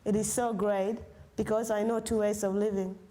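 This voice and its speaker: A woman's voice: medium pitch, flat delivery, normal speed, warm tone. A young woman from Sudan with an East African accent, presenting her personal story. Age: young adult. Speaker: refugee student presenter.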